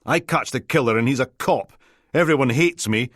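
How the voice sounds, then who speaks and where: A man's voice: mid-range voice, normal speed, fairly bright tone, slightly rough, dry recording, clean studio sound, voice pushed up. A man voicing a self-pitying detective inspector who whines in despair. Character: gloomy male detective inspector, whining.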